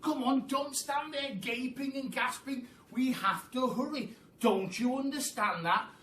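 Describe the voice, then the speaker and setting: In a roomy, distant recording, a bossy monkey father from a children's story as a man reads him aloud drives everyone on. He is impatient and frantic, barking excited commands. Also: very high voice, unhurried, pitched above the performer's own voice, heavily acted. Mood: impatient, urging. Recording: roomy, distant mic with faint noise.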